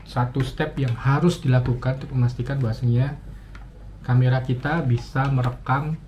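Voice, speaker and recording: Man, muffled noisy hands-on tutorial. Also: medium pitch. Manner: halting, thinking aloud with frequent pauses. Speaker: motovlogger giving a gear tutorial. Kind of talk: casual step-by-step demonstration. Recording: muffled, dull audio with clear ambient noise.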